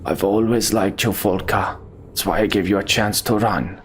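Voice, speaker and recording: A man voicing a vengeful warrior consumed by rage, speaking slowly with cold menace. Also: fairly low pitch, steady tone, faint music behind, natural voice. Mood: threatening. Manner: cold, threatening, slow.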